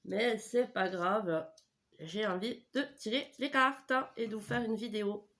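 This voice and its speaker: A woman with a blocked nose from a cold reads tarot cards at home, speaking into a desk microphone. She chats in a relaxed, rambling way, with plenty of hesitations, fillers and self-corrections. Her voice sits at an ordinary middle pitch for a woman. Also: a little slow. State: stuffy head cold, voice not in form. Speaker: tarot reader. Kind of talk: casual tarot reading video.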